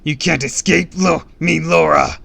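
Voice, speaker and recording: A man voicing a desperate young girl possessed by an evil mask, shouting defiance and roaring threats in a storybook reading. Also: a little high, very animated, unhurried, gravelly, breathy edge, voice pushed up, full character voice. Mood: raging, menacing.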